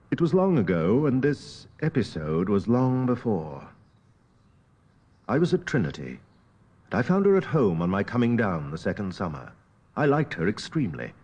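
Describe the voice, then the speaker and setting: Husky, dramatic gentleman storyteller. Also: fairly low pitch. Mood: fond, wistful. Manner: measured, theatrical, with weighty pauses. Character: educated Victorian gentleman recounting a haunting memory, grave and wistful. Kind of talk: dramatic literary audiobook narration.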